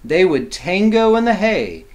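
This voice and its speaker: A man reading a children's storybook aloud as a teacher, expressive, with simple explanatory asides for young listeners. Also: high-pitched, measured pace.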